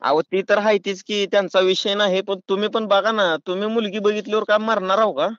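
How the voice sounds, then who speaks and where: A man's voice: high-pitched, little pitch movement, fairly quick, fairly bright tone, no echo. A man with a rural Maharashtrian accent talking in a pushy, folksy, argumentative way on a prank phone call. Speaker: prank caller. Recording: dull, lo-fi phone call recording.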